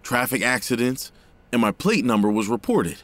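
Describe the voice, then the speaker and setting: Desperate, earnest father played by a man, highly animated intonation, emotional audio drama delivery. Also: normal speed, lightly acted. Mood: worried. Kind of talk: dramatic audio fiction acting.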